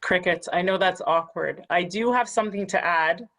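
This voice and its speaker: Woman panelist, low voice, eager, joking. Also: moderate pace, a bit bright. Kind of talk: panel discussion.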